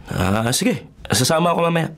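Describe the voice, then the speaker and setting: A man's voice: fairly high pitch, sing-song, normal speed, a bit bright. A man playing a skeptical, self-reliant journalist in his twenties in a radio drama, with a Filipino accent and a conversational, questioning way of talking. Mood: casual, friendly. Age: 20s. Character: skeptical 23-year-old male journalist, faithless and self-reliant.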